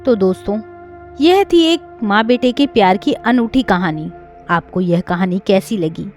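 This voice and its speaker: A woman tells a sentimental moral story as a scripted narration. Her pitch moves in a lively, expressive way, sitting at an ordinary height for a woman. Faint music plays underneath her voice. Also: a little slow.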